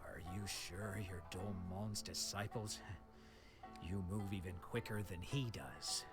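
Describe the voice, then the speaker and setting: An actor playing a wary, bitter wandering swordsman in a video game, speaking in a measured, proud way. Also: sits low. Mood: startled, wary. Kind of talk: video game character dialogue. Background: music.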